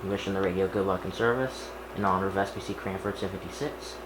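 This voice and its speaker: A man casually talking through an unboxing and setup as he works, halting and half muttering to himself. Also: low-pitched. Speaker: YouTuber unboxing and setting up a radio. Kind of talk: casual unboxing narration while handling items. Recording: echoey room with clear background noise.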